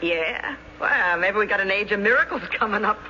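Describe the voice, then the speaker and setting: A no-nonsense, working-class landlady in an old radio play. She scolds fondly and cracks wry remarks in a piercingly sharp, forceful voice. The recording is slightly dull with faint noise. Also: medium pitch, animated, normal speed. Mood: dismissive, amused. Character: blunt, wisecracking working-class landlady, scolding but fond. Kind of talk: radio drama acting.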